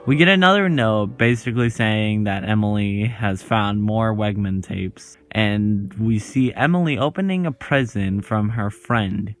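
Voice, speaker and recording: Low-voiced man narrating, slow pace. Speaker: video host. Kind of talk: scripted video essay narration.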